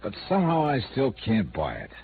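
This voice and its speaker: An actor playing a tough, probing insurance investigator in a radio noir drama, with a sardonic, clipped way of questioning. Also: male voice, medium pitch, wildly swooping pitch, a little fast. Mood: probing, skeptical. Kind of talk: 1950s radio noir acting. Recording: tinny, noisy old radio recording.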